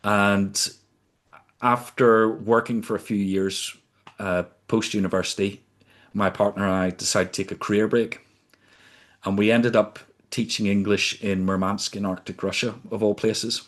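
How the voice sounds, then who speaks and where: A man in his fifties from the UK, a podcast guest recounting his career in a measured, deliberate way. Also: fairly low pitch, little pitch movement. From United Kingdom. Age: 50s. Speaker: podcast guest, sustainability consultant. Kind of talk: reflective storytelling in conversation.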